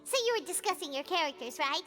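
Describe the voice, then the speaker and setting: A woman voicing a tiny, excitable fairy companion in a video game, with a squeaky, childlike, bubbly way of talking. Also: quick pace, over loud music. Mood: eager. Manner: bubbly, speaks of herself by name.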